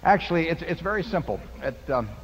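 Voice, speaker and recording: A man's voice: on the high side, expressive, a little fast, a little hiss or hum. An older man seated at a table microphone on a hall stage, patiently explaining investing to shareholders with a folksy parable and simple arithmetic. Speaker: company chairman answering shareholder questions. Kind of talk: unscripted explanation at a podium. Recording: dull lo-fi hall recording with long reverb.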